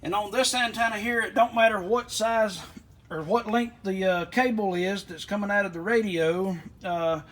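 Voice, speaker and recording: Higher-pitched man, folksy. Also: normal speed, a bit bright. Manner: folksy, rambling. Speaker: aviation vlogger. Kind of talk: casual hands-on walkthrough. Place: inside a small plane at an airport.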